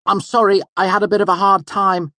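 A man's voice: sits high, flat delivery, fairly quick, bright, forceful tone, no echo, voice pushed up. A man doing a timid young student boy. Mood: nervous. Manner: timid, apologetic. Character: nervous young male student.

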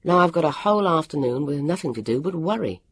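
A narrator puts on an anxious, excitable young girl in a storybook. She is breathless and halting, swooping in pitch. The sound is husky and close, with no room to it. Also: dry recording. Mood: worried.

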